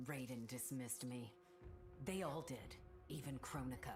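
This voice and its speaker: Resentful female warrior, very low voice, icy, game cutscene over music. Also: a little slow. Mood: bitter. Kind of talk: dramatic video game narration.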